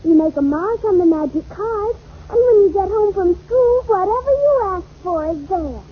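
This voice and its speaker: A woman's voice: extremely high pitch, expressive, moderate pace. A woman playing an earnest, imaginative little girl who pretends to be a fairy queen in an old radio comedy. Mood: earnest make-believe. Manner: earnest, matter-of-fact make-believe. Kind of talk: 1940s radio comedy acting. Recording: muffled, noisy old radio recording.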